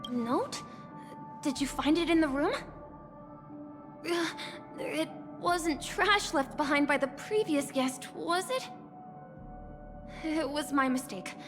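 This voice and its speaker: A woman's voice: high voice, animated, slow pace, pauses often. A woman voicing an eager young female guide at a dream hotel in a video game, polite, earnest and apologetic. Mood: flustered, apologetic. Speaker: guest guide. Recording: long hall reverb, loud music behind.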